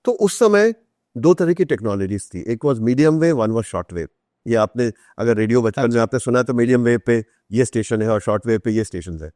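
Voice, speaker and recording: A man's voice: mid-range voice, expressive, moderate pace, darkish tone, dry recording, studio quality. A man with an Indian accent telling stories conversationally as a podcast guest, explaining things and mixing Hindi with English. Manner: explanatory, acts out quoted remarks; switches between Hindi and English. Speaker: author, interview guest.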